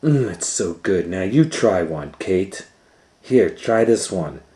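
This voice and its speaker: A man's voice: low voice, expressive, slow pace, dark tone. A man voicing an eager school lunch lady from a storybook, coaxing and relishing, in a roomy space. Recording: roomy echo.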